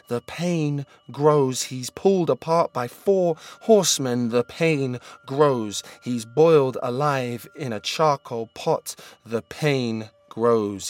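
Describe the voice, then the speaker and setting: A man reading a dark horror story aloud, intense, swinging between urgent peaks and low hushed passages. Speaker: audiobook reader. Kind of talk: scripted dramatic horror narration.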